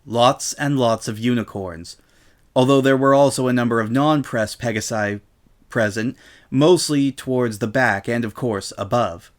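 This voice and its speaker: A man's voice: medium pitch, measured pace. A man reading scripted story narration as the narrator of a dramatic reading.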